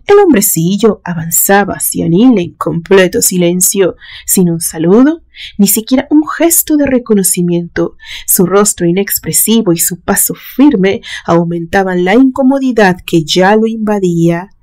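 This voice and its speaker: A woman reading a literary suspense story aloud in a measured, dramatic storytelling manner. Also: mid-range voice, darkish tone. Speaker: narrator reading a horror story.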